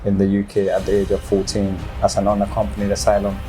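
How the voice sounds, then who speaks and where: A young man with a Nigerian accent, telling his personal story as an interview guest in an earnest, halting way. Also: lowish voice, monotone, over music. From northern Nigeria. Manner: earnest, halting, with many ums. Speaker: guest, football player.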